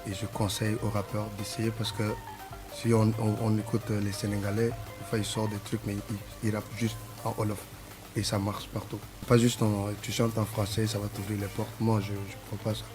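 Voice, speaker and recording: Low-voiced man, halting speech. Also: level tone, over music. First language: Sara. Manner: halting, repeats words while searching for them. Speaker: musician guest. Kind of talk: casual interview answers.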